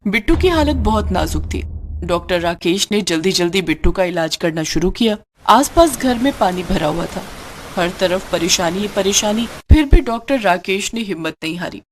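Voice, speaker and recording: A woman's voice: lowish voice, animated, moderate pace, slight background noise. A woman narrator reading a scripted cartoon story.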